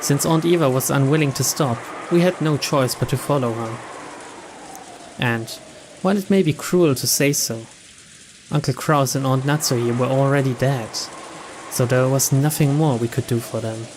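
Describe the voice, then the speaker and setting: A man's voice: mid-range voice, normal speed. A man giving a dramatic scripted narration of a visual novel as a let's play reader. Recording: clear background ambience noise.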